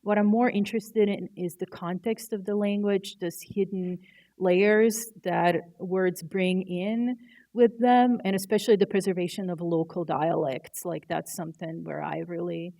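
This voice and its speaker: Croatian-native woman typographer, low voice, thinks aloud on a panel. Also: fairly even intonation, measured pace, warm tone. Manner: thoughtful, searching for words, candid. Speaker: artist and typographer, university educator. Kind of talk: panel discussion answers.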